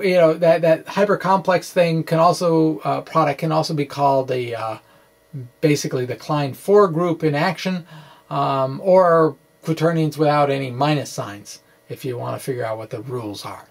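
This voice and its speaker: A middle-aged man giving an informal, halting explanation to camera in an offhand, quirky manner. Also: on the high side, vocal fry. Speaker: physics blogger presenting puzzle answers. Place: small indoor room with bookshelves. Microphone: microphone on a stand in front of him.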